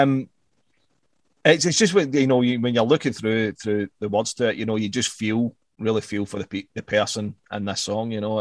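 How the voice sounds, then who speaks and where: A man's voice: on the low side, dry recording. A man, casually talking through an album as a podcast co-host, rambling and swearing, repeating words as he goes.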